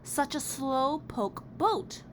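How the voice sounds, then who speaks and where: A woman voicing a bossy, bored older sister in a storybook, with a drawn-out, dismissive way of talking. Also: high voice, expressive, pitched above the performer's own voice. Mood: bored, grumbling. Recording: clearly noisy background.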